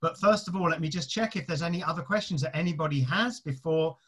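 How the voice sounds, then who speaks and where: A man, a rabbi, briskly moderating a video call and bringing people forward. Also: a little high, husky. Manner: warm-welcoming, brisk moderator. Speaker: rabbi hosting the session.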